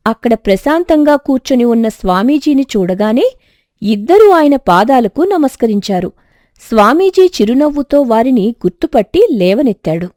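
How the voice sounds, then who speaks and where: High, animated woman storyteller. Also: moderate pace, no echo, clean studio sound. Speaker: storyteller, narrator. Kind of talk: scripted moral-story narration.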